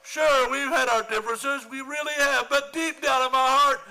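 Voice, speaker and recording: A man's voice: very high voice, fairly even intonation, normal speed, pushed and bright, exaggerated voice, low-quality recording. A man playing a pompous, easily flustered middle-aged father in an old-time radio comedy. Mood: hysterical pleading. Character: flustered, blustering father and boss figure, Mr. Conklin.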